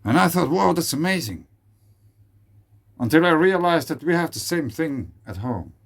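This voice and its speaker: A man's voice: a little high, big pitch swings, moderate pace, vocal fry. A man in his forties with a Swiss German accent, rambling in a casual solo video with many fillers and restarts. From Switzerland. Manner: rambling, hesitant, with frequent fillers. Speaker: video creator. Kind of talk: casual monologue.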